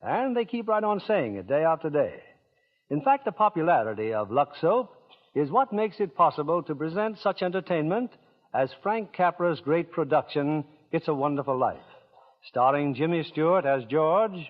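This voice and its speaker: A man's voice: a little high, animated, normal speed. A man hosting a 1940s radio drama, reading scripted narration in a polished, dramatic manner. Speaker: radio host and announcer. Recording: dull old radio recording, loud music.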